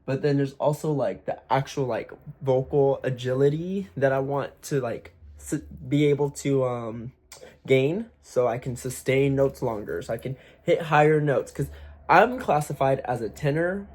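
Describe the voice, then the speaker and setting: A young man chatting candidly to camera about his singing plans, talking in a deliberately raised, slightly forced voice, swearing freely and using lots of fillers. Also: medium pitch, darkish tone. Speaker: aspiring singer vlogger. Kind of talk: casual talk to camera.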